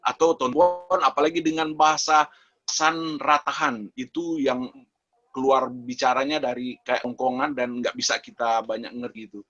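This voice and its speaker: A man from North Sulawesi, asking a question at a webinar in short, halting phrases. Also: mid-range voice, gravelly, a bit bright. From Minahasa, North Sulawesi, Indonesia. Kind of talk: informal spoken question. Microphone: video call. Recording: dull, lo-fi online call audio.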